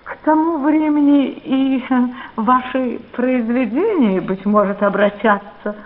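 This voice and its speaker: A woman's voice: high voice, takes it slowly, a little hiss or hum. An actress playing an imperious, witty Elizabethan queen in a radio play, with a stately, wry, declamatory delivery. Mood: wry, ironic. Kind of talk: theatrical radio play acting. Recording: dull vintage radio drama recording.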